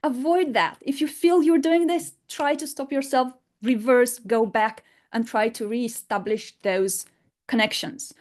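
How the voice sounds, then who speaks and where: Woman, slightly high voice, non-native English, unscripted online lesson, emphatic, rhetorical questions. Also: unhurried, a little mellow. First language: not English. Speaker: language teacher.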